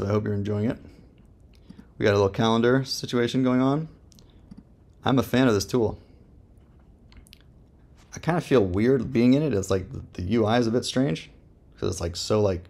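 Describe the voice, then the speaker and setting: Man, deepish voice, heavy vocal fry, slangy, joking, off-the-cuff software review. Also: normal speed, pauses often. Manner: laid-back, slangy, joking. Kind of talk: casual screen-recorded review, thinking aloud.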